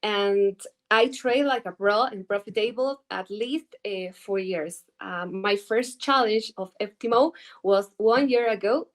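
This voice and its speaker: Interview guest: woman in her twenties, Ecuadorian Spanish accent, non-native English, slow and halting. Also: mid-range voice. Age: 20s. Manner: halting, searching for English words with many fillers. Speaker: guest trader. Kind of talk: interview answers over video call.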